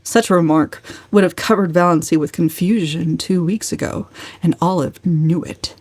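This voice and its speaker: A woman narrating a novel as a dramatic reading, with expressive, deliberate phrasing. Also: fairly low pitch, no room sound. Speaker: audiobook narrator.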